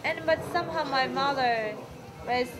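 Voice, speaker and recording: A woman's voice: sits high, normal speed. A woman answering interview questions in a reflective, hesitant way. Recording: loud music behind.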